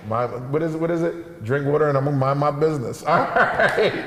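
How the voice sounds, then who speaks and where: A man co-hosting a live morning talk show, loud and upbeat, often repeating himself and laughing. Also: on the high side, brisk, echoey room, long reverb tail, slight background noise.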